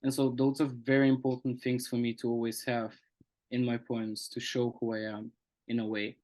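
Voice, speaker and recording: A man's voice: mid-range voice, little pitch movement, moderate pace, darkish tone. A young man from Bulgaria, whose first language is Bulgarian, answering interview questions as a guest poet in an earnest, reflective way.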